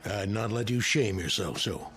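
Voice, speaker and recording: Man acting a stern low-voiced country father. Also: normal speed, a bit bright, slight background noise, natural voice. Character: stern, protective country father, middle-aged. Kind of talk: radio drama acting. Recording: radio play with music bed.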